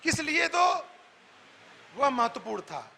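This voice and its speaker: High-pitched, bright male reporter. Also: sing-song, slow pace, gravelly, slight background noise. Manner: insistent, repeats himself to cut in. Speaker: TV reporter hosting a public debate. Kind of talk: street political vox-pop interviewing. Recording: reverberant, echoing sound.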